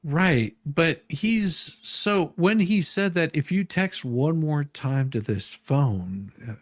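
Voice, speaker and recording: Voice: medium pitch, lively intonation, slow pace, dry recording. A livestream host switching between a dramatic deposition read-through and informal, jokey chat.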